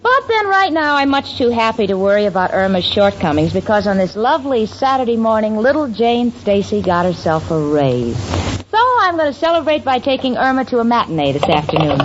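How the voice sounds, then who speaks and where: A woman's voice: on the high side, very animated, fairly quick. An actress playing a sensible, affectionate young working woman, wry and patient, in 1940s radio comedy style. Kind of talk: 1940s radio comedy acting, narration and dialogue. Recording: muffled, noisy 1940s radio with audience.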